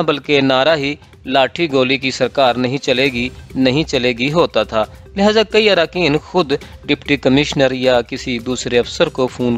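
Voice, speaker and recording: A man with a Pakistani accent reading a political column as scripted narration. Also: mid-range voice. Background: background music.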